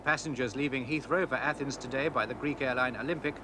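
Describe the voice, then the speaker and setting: A man's voice: mid-range voice, fast talker, background noise. A man narrating a plain, factual scripted news report.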